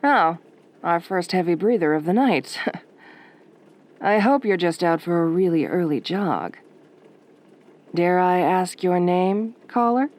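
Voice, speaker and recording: A woman playing a sultry, sardonic late-night radio DJ in a soft, velvety, teasing voice. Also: lowish voice, expressive, slow pace, long pauses. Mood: cool, sardonic. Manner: flirtatious, teasing, wry. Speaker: radio host character.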